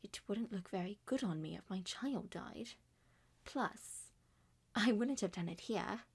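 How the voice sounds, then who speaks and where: A woman acts out a villainous father in a roleplay drama. He is cold and self-serving, speaking softly with cool deliberation. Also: mid-range voice, unhurried, breathy edge. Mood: cold, defensive.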